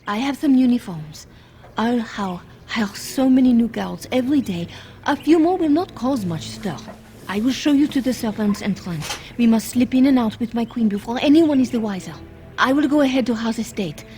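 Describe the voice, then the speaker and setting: A woman's voice: on the high side, animated, normal speed, dark tone, lightly acted. An actress playing an earnest, worried young handmaiden to a queen in a fantasy video game, telling things carefully and urgently. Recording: game audio with music underneath.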